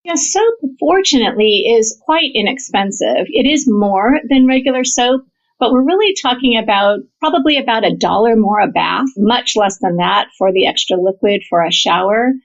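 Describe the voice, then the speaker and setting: A woman gives explanatory interview answers as a medical researcher. Her voice is slightly high and she lays points out in an orderly way. The recording is somewhat dull and lo-fi. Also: expressive, normal speed. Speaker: interview guest, physician researcher and professor. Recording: dull, band-limited audio.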